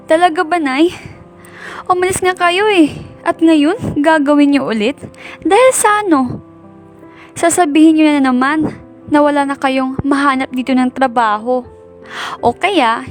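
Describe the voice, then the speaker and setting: Woman acting a high-voiced, resentful Filipino daughter. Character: resentful, sullen teenage eldest daughter. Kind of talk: amateur Tagalog radio drama acting. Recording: background soundtrack under the voice.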